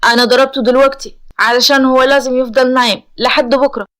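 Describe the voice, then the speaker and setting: Woman acting a stern, agitated older man, high-pitched. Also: steady tone, fast talker, a bit bright. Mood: agitated, firm. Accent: Egyptian. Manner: urgent, firm.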